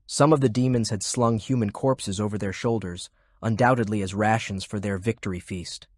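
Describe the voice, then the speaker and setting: A man narrates a fantasy audiobook in a fairly low voice. His reading is measured and descriptive, and the recording is dry and close. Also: fairly even intonation, a little mellow, dry recording. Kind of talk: scripted fiction narration.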